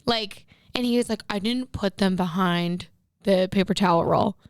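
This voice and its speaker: A woman's voice: medium pitch, moderate pace, clean tone, no echo, clean studio sound. A young woman co-hosting a casual podcast, chatty and laughing often, acting out the people she quotes. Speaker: podcast co-host. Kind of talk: casual chat.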